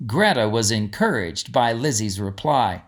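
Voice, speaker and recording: A man reading a storybook aloud as scripted narration, in an expressive storyteller's manner. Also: medium pitch, unhurried. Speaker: audiobook narrator.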